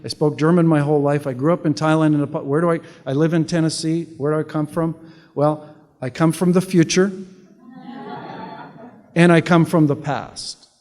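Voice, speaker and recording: A man's voice: on the high side, fairly even intonation, moderate pace, a little mellow, in a large hall. A Canadian-born man giving a sarcastic, conversational political speech to an audience, often restarting with repeated words. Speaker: public speaker.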